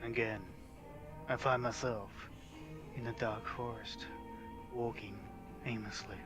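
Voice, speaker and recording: A man voicing a weary, earnest game protagonist who narrates his dark tale in a slow, solemn, measured way. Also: mid-range voice, level tone, music in the background, pitched below the performer's own voice, natural voice. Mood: weary, brooding.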